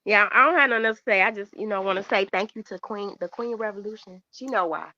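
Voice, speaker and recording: A woman's voice: medium pitch, fast, pushed and bright, dull audio. A woman in her mid-forties joining a casual livestream chat, talking in a plain, easygoing way. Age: 40s. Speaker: livestream guest.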